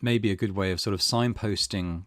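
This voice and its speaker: A man's voice: lowish voice, steady tone, a little fast, a bit bright, no room sound, studio quality. A man hosting a let's play, reading game text aloud and adding his own commentary.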